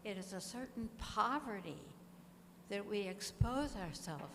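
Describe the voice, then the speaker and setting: A woman offering reflections in a group discussion, measured and deliberate, pausing often between phrases. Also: medium pitch, animated. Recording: echoey hall with clear background noise.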